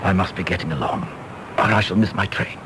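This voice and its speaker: An actor playing a courteous English diplomatic official in a radio drama, measured, polite and reassuring. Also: male voice, low voice, fairly even intonation, background noise, lightly acted. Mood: tactful, soothing. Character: calm, courteous senior British diplomatic colleague, tactful. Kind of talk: stage-play radio drama acting.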